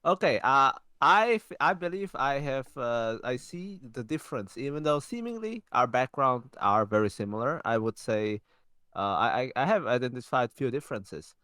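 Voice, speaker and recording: A young man co-hosting a podcast, speaking casually in halting sentences with many restarts and fillers. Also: medium pitch, expressive, bright, forceful tone, clean tone, no echo. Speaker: podcast co-host. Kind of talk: casual conversational chat.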